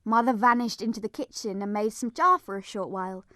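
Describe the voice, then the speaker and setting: A woman playing an eager young Punjabi village girl, telling her story in expressive first-person dramatic narration. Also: medium pitch, brisk, no room sound, studio quality, natural voice. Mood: reflective.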